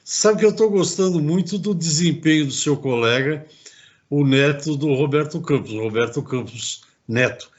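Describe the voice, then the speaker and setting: Man, talk-show host, chatty, rambling, slightly high-pitched, muffled lo-fi audio. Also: moderate pace. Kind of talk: casual interview talk.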